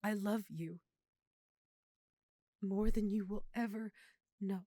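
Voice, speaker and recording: A woman playing a lovesick, guilt-ridden vampire girlfriend in an intimate audio roleplay, speaking tenderly and confidingly with dramatic pauses. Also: medium pitch, steady tone, warm tone, dry recording. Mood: guilty, tender. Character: lovesick, guilt-ridden female vampire hiding her nature from boyfriend.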